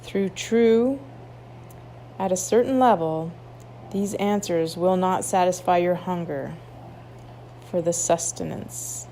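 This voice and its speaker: Woman doing a guided meditation, really slow n lowish voice, pauses a lot, bit of background noise. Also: warm tone. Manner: unhurried, instructive, with long pauses. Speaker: meditation guide at a wellness retreat. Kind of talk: guided meditation, read aloud softly. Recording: clearly noisy ambient background.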